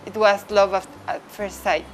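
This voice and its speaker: A woman's voice: mid-range voice, steady tone, moderate pace, faint music behind. A woman who works behind the camera, speaking earnestly about falling in love with camera work. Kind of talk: interview testimony.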